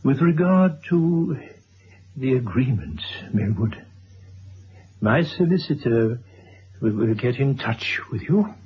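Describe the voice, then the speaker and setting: Slow, courteous, dignified gentleman. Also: mid-range voice, big pitch swings. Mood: grave, resigned. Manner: formal, measured, polite. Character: courteous, resolute, dignified former schoolmaster, gravely composed. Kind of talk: vintage radio drama acting. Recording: dull, faintly noisy old radio recording.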